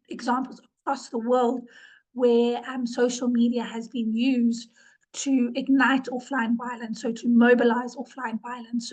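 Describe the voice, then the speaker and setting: Hoarse, high-voiced woman presenting. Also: rough recording. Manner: earnest, repeats words for emphasis, with fillers. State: ill, losing her voice. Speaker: webinar presenter, organization director. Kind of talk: presentation talk.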